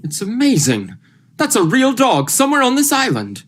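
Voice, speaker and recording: A woman's voice: on the high side, huge pitch swings, moderate pace, husky, heavily acted. A woman playing a steadying, practical doctor in a vintage radio thriller, speaking in an earnest, reassuring way. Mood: reassuring, reasoning.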